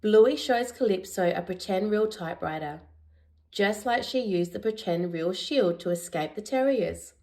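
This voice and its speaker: A woman narrating a children's picture book as an educator, in a deliberate, expressive storytelling manner. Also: medium pitch. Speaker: educator reading picture books aloud.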